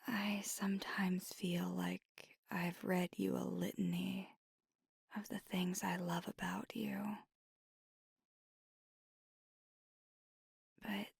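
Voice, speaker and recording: A woman voicing a tender, nervous vampire girlfriend in an intimate ASMR roleplay, speaking in hesitant, breathy whispers close to the mic. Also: mid-range voice, dry recording, exaggerated voice. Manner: hesitant, halting, earnest confiding. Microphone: close, dry microphone.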